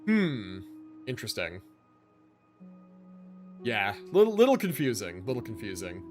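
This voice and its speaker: A man livestreaming a game with casual, slangy commentary, musing out loud and swearing now and then. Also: lowish voice, wildly swooping pitch, unhurried, faint music behind.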